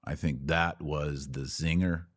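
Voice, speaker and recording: A man's voice: rumbling low, steady tone, measured pace, no room sound, studio quality. An American man hosting a live commentary podcast, answering comments in a blunt, opinionated way and repeating phrases for emphasis.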